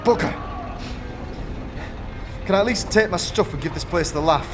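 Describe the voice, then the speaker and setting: A man voicing a shifty workman villain in an audio drama, blustering in rough slang and tripping over words under pressure. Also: high voice, normal speed, music in the background, pitched above the performer's own voice, close to an everyday voice. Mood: angry, cornered.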